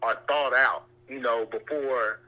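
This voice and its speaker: A man calling in to share opinions conversationally, halting and circling back to rephrase his points, over a telephone-like line. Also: mid-range voice, fairly even intonation, bright, forceful tone. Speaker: caller.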